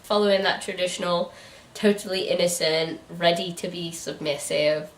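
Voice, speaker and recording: A woman's voice: fairly low pitch, steady tone, moderate pace, a little hiss or hum. A British woman chatting casually and informally as a book reviewer and host. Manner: giggly, informal. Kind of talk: casual chat. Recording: echoey room, distant mic.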